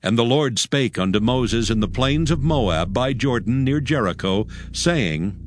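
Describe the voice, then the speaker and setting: A man's voice: medium pitch, expressive, measured pace, no room sound. A man narrating a dramatized Bible in a formal, solemn scripted reading.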